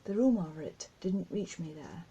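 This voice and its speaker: A woman's voice: fairly low pitch, sing-song, normal speed, dark tone, husky. A woman reading a poem aloud. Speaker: poetry reader.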